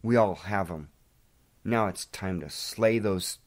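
A man's voice: sits low, slow pace. A man hosting a devotional, reading aloud and praying. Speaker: devotional host.

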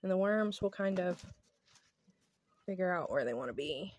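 A woman's voice: lowish voice, animated, normal speed, darkish tone, a little hiss or hum. A woman in her thirties talking casually through a how-to vlog, recorded outdoors on a handheld phone. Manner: chatty, informal. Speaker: homestead vlogger. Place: outdoors on a home porch. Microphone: phone at arm's length or handheld.

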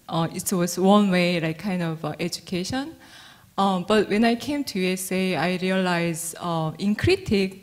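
A woman from Korea speaking English with a Korean accent as a panelist, measured, with frequent "uh" and "um" pauses, into a handheld mic. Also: mid-range voice. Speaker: panelist artist. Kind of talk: panel discussion. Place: stage of a museum auditorium.

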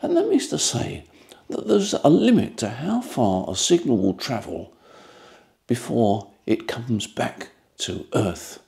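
A man, explaining things informally to camera in a measured way with rhetorical asides. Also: mid-range voice, very animated, vocal fry, a little mellow. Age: 70s. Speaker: ham radio channel presenter.

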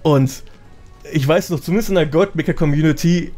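A man's voice: on the high side, a little fast, mellow. A man with a colloquial, slangy way of explaining things, chatting casually as a guest on a gaming podcast. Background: background music.

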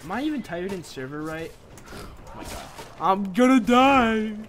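A young man giving casual, rambling commentary while he plays a game live, excitable and joking at his own expense. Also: sits high, music in the background. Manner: excitable, rambling, self-mocking, quick laughs. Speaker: streamer.

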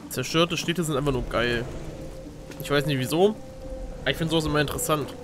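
A man's voice: on the high side, a little fast, music in the background. A young man giving casual, joking game commentary on a headset, playful and often exclaiming. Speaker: let's play gamer. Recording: game noise behind voice. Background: video game sounds.

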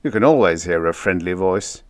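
A man's voice: low voice, lively intonation, moderate pace, dry recording. A man voicing an earnest, well-meaning spaceman who is insistent and plain-spoken, in a story reading. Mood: encouraging.

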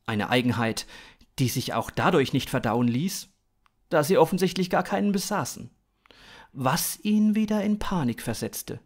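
A man in his thirties narrating a scripted science fiction story in an expressive, dramatic storytelling manner. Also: medium pitch. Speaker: author-narrator of a story podcast.

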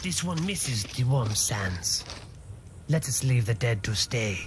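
A man's voice: medium pitch, animated, slow pace, heavy background noise. A man voicing a formal, superstitious beast-folk warrior companion in a video game, fearful of the undead. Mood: uneasy. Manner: speaks of himself in third person, formal. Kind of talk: scripted game follower dialogue. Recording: echoey long reverb, music behind. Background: game music.

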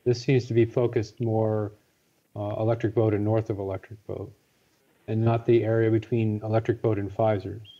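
A man's voice: fairly low pitch, little pitch movement, moderate pace, mellow. A man in his sixties raising a question as a committee member in a meeting over a video call. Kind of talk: meeting discussion. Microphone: earbuds on video call. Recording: dull lo-fi video call audio.

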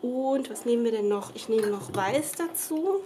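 A young woman with a cold talks her way through a crafting demo at a table at home, rambling and correcting herself as she goes. Her voice sits a little high. A faint hiss stays under the recording. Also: lively intonation, normal speed, dark tone. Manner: chatty, rambling, many fillers and self-corrections. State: has a cold. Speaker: craft vlogger. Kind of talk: casual crafting tutorial chat. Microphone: camera above the table, speaker off screen.

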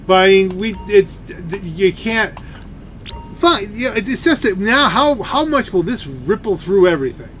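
Higher-pitched man rambling over game noise. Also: animated, a bit bright. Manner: complaining, halting, repeats words. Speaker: gaming video creator. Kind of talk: rambling gameplay commentary. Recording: game and engine noise behind voice.

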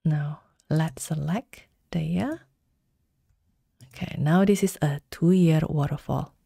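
A woman talks through a software tutorial in calm, step-by-step instructions. Her voice is mellow and low, unhurried, recorded dry and close. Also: vocal fry, husky, no echo. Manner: calm step-by-step instructions, slow deliberate pacing.

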